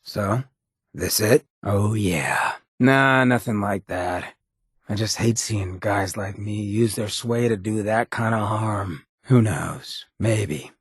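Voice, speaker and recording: A roguish undead drifter turned rebel mayor in a video game. The voice is on the low side and shredded with gravel. He talks in a cocky, streetwise slang with a loose drawl, recorded very dry. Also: slow, no echo, heavily acted. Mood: earnest, subdued, then casual, easygoing. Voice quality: very raspy, gravelly. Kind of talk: video game companion dialogue.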